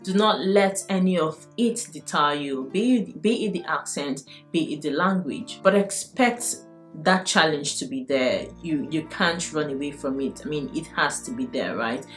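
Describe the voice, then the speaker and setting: Low-voiced Nigerian woman giving advice. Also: expressive, normal speed. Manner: earnest, encouraging, big-sister advice. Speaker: vlogger. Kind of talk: advice vlog. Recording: faint guitar music behind.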